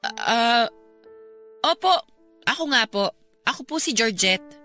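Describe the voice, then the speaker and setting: A woman playing a polite but persistent young woman in a radio drama, earnest and quietly pointed. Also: on the high side, animated, measured pace, soft background music. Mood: polite, nervous.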